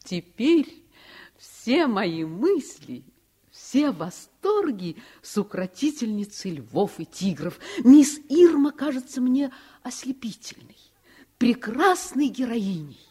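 An actress playing an enthusiastic girl narrator recounting her childhood, expressive and confiding, in a Soviet radio play. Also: on the high side, slow pace, husky, heavily acted. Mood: rapt.

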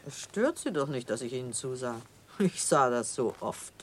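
An older actress in a German radio drama playing a severe, devout old aristocrat with a haughty, grave, theatrical delivery. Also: female voice, rumbling low, lively intonation, normal speed, a little hiss or hum. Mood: scornful.

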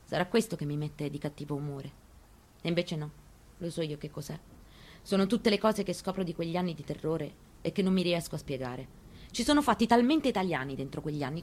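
A woman acting a bitter grieving mother, lowish voice. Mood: brooding. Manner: rhetorical questions, pointed, conversational storytelling. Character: grieving elderly Italian mother, bitter, defiant, searching for answers. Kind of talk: dramatic stage reading of a memoir, monologue.

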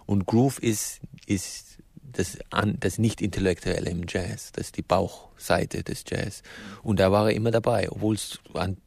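Man, gravelly fry, dry close sound, spontaneous expert explanation, halting phrasing. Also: lowish voice, fairly even intonation, a little mellow, no room sound. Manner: halting, rephrasing often as he explains. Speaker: interview guest, music expert.

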